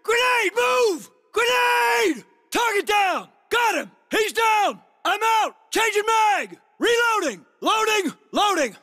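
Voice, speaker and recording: An actor playing an urgent, battle-hardened special forces soldier in a military shooter game, barking clipped, commanding combat callouts. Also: male voice, very high-pitched, very animated, takes it slowly, few pauses, bright, forceful tone, shouting, long reverb tail, exaggerated voice. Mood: urgent, battle shouting.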